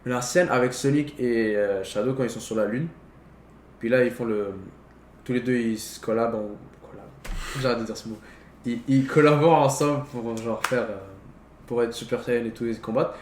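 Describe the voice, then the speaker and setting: A man's voice: medium pitch, fairly even intonation, moderate pace. Young adult male, mid-range voice, casual heavy conversation, echoey room sound. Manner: slangy, peppered with English words. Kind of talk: casual chat about films. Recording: roomy echo, faint background noise.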